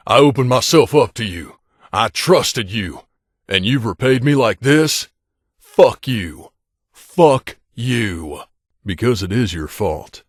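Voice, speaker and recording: A man voicing an earnest, wounded wasteland companion in a video game, speaking sincerely and emotionally with hesitant pauses. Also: on the low side, husky, dry recording, studio quality. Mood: bitter anger.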